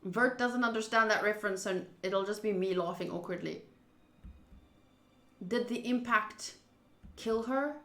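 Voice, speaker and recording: Female game streamer. Expressive read-aloud narration, bright crisp-edged tone, roomy echo on the recording. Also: medium pitch, unhurried, pauses often, a little hiss or hum. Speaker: streamer playing a visual novel. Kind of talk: dramatic read-aloud of game text with casual asides. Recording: roomy, echoing sound.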